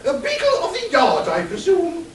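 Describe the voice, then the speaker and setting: A man's voice: extremely high pitch, wildly swooping pitch, normal speed, full character voice. A man playing a dim, imperious British titled lady with a haughty, exclamatory manner in a comic radio play. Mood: brisk, haughty. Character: titled upper-class woman, dim, imperious, breezily callous amateur sleuth. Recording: distant mic, roomy echo, faint hiss.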